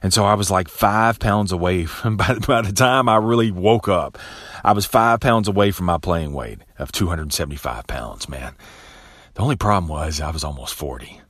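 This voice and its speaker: A man in his late thirties hosting a motivational podcast, talking casually and profanely and tossing in "man" and "bro", with animated bursts and low, quiet asides. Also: normal speed, dry recording, clean studio sound. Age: 30s. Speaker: podcast host. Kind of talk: motivational monologue.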